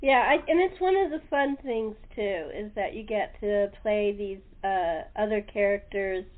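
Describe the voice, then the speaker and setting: A woman's voice: mid-range voice, a little slow. A woman hosting a casual interview, chatty and often given to laughter. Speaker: host. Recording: noisy, tinny phone-like line.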